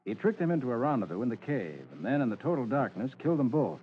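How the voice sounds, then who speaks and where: An actor playing a confident, methodical private detective in an old radio drama, explaining with assurance. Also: male voice, mid-range voice, sing-song, quick pace, natural voice. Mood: assured, explanatory. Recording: dull, noisy old radio recording.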